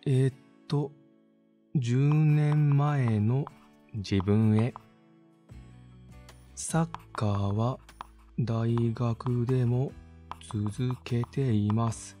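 A young man playing a laid-back, sincere university student in a voice drama monologue, musing as he writes. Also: medium pitch. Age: young adult. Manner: unhurried, musing, mellow. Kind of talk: voice drama monologue, thinking aloud while writing.

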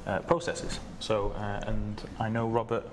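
A man's voice: fairly low pitch, completely flat delivery, unhurried. A man, a lecturer, talking informally on a panel in a large gallery, picked up by a distant microphone. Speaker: senior lecturer on a discussion panel. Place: large gallery room with seated audience. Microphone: distant camera microphone. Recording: noisy room, distant microphone.

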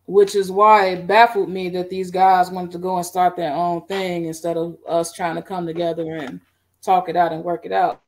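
A middle-aged woman on a video call, recounting her band history in a relaxed, rambling way with many fillers. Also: lowish voice. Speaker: guest band musician. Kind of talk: casual interview. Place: home room.

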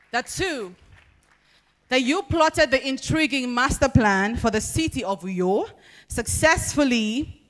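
Young Nigerian woman reciting spoken word. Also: fairly high pitch. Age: young adult. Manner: measured, expressive recitation with deliberate pauses. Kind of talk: spoken word poetry performance. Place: large banquet hall at a podium. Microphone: handheld microphone.

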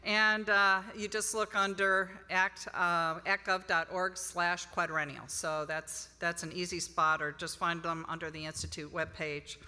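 Low, bright woman in a hall. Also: little pitch movement, unhurried, hardly any pauses. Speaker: institute director, conference speaker. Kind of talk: informal conference presentation. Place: large hotel ballroom, on stage. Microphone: podium microphone. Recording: reverberant hall PA sound.

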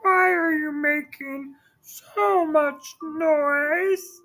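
A woman voicing a small, ugly male frog in a children's storybook, talking very high, slow and drawn out. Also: a bit bright, faint music behind, heavily acted. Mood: pleading.

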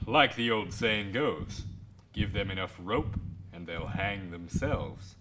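A smug, courteous police detective in a radio play. His lowish voice swings expressively as he insinuates and probes, over clearly audible noise. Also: lively intonation, takes it slowly. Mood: smooth, insinuating. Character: smug, polite police detective probing a suspect. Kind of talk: radio drama acting. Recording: clearly noisy radio drama recording.

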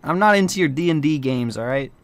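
A man's voice: a little high, expressive, fast talker, no room sound. A man playing a bitter, proud, suspicious warlock leader in an amateur game roleplay, brooding dramatically and snapping back with sassy slang.

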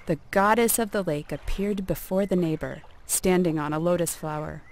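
A woman narrating a folk tale as a storyteller, in a measured and expressive storybook delivery. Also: on the low side, a little hiss or hum.

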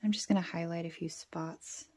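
A woman with a soft, breathy voice, murmuring and thinking aloud through a casual craft demonstration. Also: fairly low pitch, steady tone, normal speed, slight background noise. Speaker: journaling vlogger.

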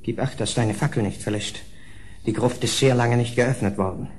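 A man's voice: mid-range voice, moderate pace, a little hiss or hum, natural voice. An actor playing a grieving, unhinged castle nobleman in a German horror radio play, brooding and theatrical. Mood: grave, brooding.

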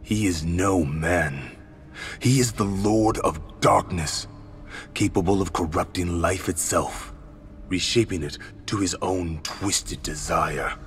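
Low, stoic ninja warrior. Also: male voice, takes it slowly, slight background noise. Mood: solemn. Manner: stoic, solemn. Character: grim, terse, fated ninja warrior. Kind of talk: dramatic video game acting.

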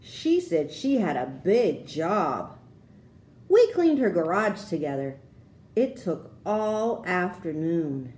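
A woman reading a children's storybook aloud, with expressive, deliberate phrasing for young listeners. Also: fairly low pitch.

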